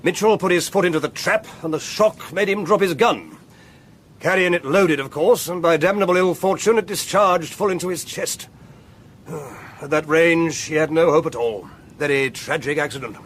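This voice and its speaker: An actor with an upper-class English accent playing an imperious, irritable, dismissive earl in a period radio drama, haughty and curt with exasperated sighs. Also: male voice, on the high side, normal speed, fairly bright tone. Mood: brisk, dismissive.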